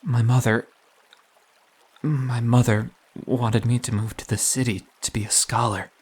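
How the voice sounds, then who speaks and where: A man playing a wounded, self-doubting young man in an audio drama, speaking hesitantly and confessionally in a breathy, husky voice. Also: medium pitch, flat delivery, unhurried, a little mellow. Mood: wistful.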